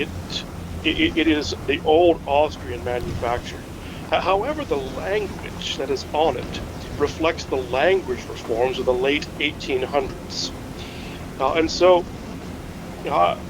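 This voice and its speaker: A man playing a learned, hesitant history scholar in a naturalistic fiction podcast, speaking in a halting, pedantic way. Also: a little high, noisy background.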